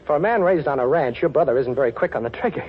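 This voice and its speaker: An actor playing a cocky, wisecracking amateur detective in a 1940s radio drama, with a sardonic, teasing manner. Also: male voice, fairly high pitch, lively intonation, very fast, close to an everyday voice. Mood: amused, breezy. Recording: muffled old radio broadcast, vehicle noise.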